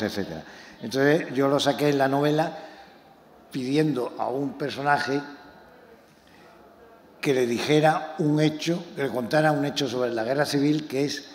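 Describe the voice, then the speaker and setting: A novelist from Málaga, presenting his book to an audience in a talk that is impassioned and halting, with many repeated words. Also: medium pitch. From Málaga, Spain. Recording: echoey hall, faint room noise.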